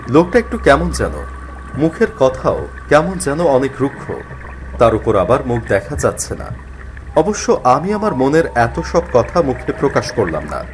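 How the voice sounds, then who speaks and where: A man narrating a spooky first-person story in an expressive, dramatized way. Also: mid-range voice, slight background noise. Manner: expressive, acts out the character's worried thoughts. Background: faint music.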